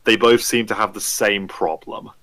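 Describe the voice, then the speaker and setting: Man, fairly low pitch, casual podcast opinions, conversational, dry close recording. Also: steady tone, moderate pace, a bit bright, no room sound. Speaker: podcast panelist. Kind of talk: casual chat, giving opinions.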